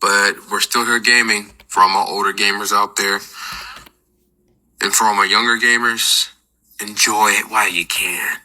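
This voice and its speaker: Middle-aged man, low voice, very bright edge, joking complaints. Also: fairly even intonation, moderate pace, low-quality recording. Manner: humorous, complaining, rough casual talk.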